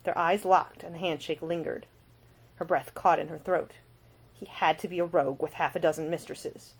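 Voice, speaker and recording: A woman reading her own fiction as an audiobook, in an expressive storytelling manner. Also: low-pitched, normal speed, gravelly, husky, low-quality recording. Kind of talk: scripted fiction narration.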